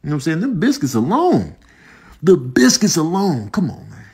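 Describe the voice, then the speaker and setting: An American man, a comedian doing slangy, mock-indignant comic commentary with drawn-out exclamations. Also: a little high, huge pitch swings, creaky, a little mellow, breathy edge. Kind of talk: comedic voiceover commentary, riffing.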